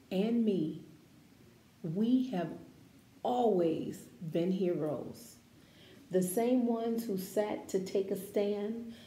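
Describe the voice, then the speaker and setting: A woman reading a picture book aloud to children, expressive and deliberate, with emphatic phrasing and encouraging asides. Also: mid-range voice. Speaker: children's story-time reader. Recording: echoey room with faint background noise.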